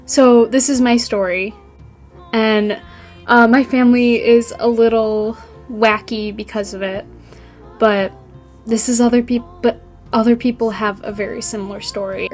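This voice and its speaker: Young woman narrating her documentary. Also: mid-range voice. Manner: informal, light, with some fillers.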